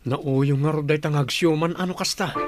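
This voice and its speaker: A man's voice: a little high, fairly even intonation, normal speed, over loud music, natural voice. A man with a Filipino Ilocano accent playing a deferential but questioning hired helper in an audio drama. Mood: concerned, indignant. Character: plain-spoken hired male underling and helper.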